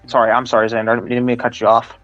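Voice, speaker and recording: A man's voice: medium pitch, completely flat delivery, rapid-fire, over music. Dull-sounding, earnest American man chatting casually. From Ohio, United States. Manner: earnest, enthusiastic, repeats himself. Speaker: stream co-host, weather radio collector. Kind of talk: casual enthusiast chat. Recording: dull, lo-fi voice chat audio.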